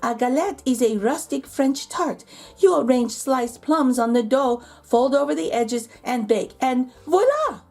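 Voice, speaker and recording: A woman's voice: sits high, animated, measured pace, a little mellow, heavily acted. A woman voicing an eager French schoolgirl in a children's storybook, exclaiming as she explains. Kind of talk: children's storybook read-aloud.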